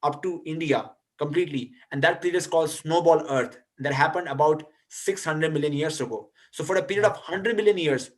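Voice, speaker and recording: A young man, a guest speaker on a video call, explaining ideas to an audience with frequent hesitant restarts. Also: fairly high pitch. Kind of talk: lecture and answering audience questions.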